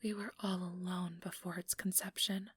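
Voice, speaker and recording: A woman's voice: fairly low pitch, level tone, normal speed. A woman voicing an ambitious, manipulative female vampire in an intimate, coaxing ASMR whisper, close to the microphone. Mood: coaxing, idealistic. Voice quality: breathy, whispered. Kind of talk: ASMR whispered role-play monologue. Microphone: close microphone, dry.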